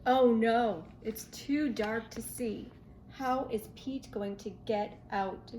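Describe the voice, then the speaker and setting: A woman reading a picture book aloud to young children in an expressive, questioning way. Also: fairly high pitch, slow pace, slight background noise, low-quality recording. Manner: expressive, asks the listener questions. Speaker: children's storybook reader.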